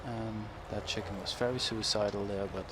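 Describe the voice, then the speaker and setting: A young man with a Dutch accent talks through his farming game in a relaxed, meandering way, often correcting himself mid-sentence. His voice sits on the low side. Engine rumble and game ambience run clearly beneath him. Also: moderate pace. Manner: rambling, self-correcting, chuckles often. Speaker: gaming YouTuber. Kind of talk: casual gameplay commentary. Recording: game engine noise behind voice. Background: farm machinery and ambient game sounds.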